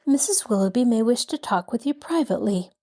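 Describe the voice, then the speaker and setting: A woman voicing a polite, tactful young woman who speaks softly and discreetly. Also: on the high side, lively intonation, brisk.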